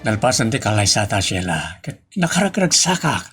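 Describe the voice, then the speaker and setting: A man's voice: lowish voice, sing-song, normal speed. A man acting a reassuring, devoted businessman in love in a radio drama. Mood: firm, comforting. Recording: music behind the voice. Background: music.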